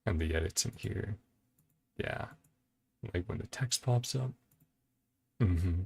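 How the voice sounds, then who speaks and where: A man giving casual reaction commentary as a video host, halting as he thinks aloud. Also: fairly low pitch, lively intonation, gravelly, darkish tone, breathy edge. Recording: faint music behind the voice. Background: faint music.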